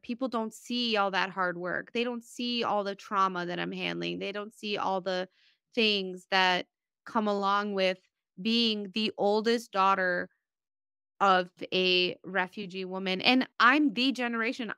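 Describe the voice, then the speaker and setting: A woman's voice: mid-range voice, steady tone, unhurried, sharp tone, clear, resonant tone, dry recording, clean studio sound. A woman from Bosnia in her thirties, a podcast guest, talking candidly and at length about her life in a heartfelt, rambling way. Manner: earnest, rambling, emotional storytelling. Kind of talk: candid personal conversation.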